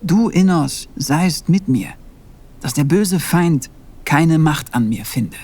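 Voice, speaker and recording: A man's voice: fairly high pitch, lively intonation, darkish tone, breathy edge, exaggerated voice. A man playing a humble, pious young monastery novice in a fantasy audio play, speaking earnestly and with solemn care. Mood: devout. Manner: solemn, measured.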